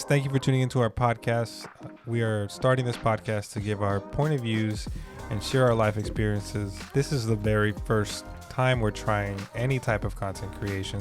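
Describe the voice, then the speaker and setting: A man's voice: on the low side, normal speed, music in the background. A man in his twenties from Maryland, co-hosting a casual podcast in a laid-back, upbeat way. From Maryland, United States. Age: 20s. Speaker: podcast co-host. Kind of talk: casual chat.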